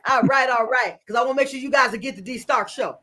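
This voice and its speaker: High-voiced woman hosting a talk show. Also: fast talker, a little hiss or hum. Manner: animated, laughs often, repeats words. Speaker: show host and business mentor. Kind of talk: casual panel talk show.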